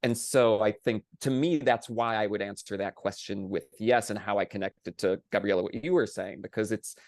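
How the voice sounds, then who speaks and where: A man's voice: fairly low pitch, fairly even intonation, normal speed, a little mellow, no room sound. A man speaking as a panelist on a video call, conversational and discursive, circling back to restate his points.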